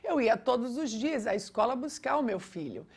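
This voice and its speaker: A woman's voice: on the high side, big pitch swings, moderate pace, breathy edge. A middle-aged Brazilian Portuguese-speaking woman teaching a lesson with personal anecdotes in an emphatic way, acting out reported dialogue. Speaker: teacher of a religious education lesson.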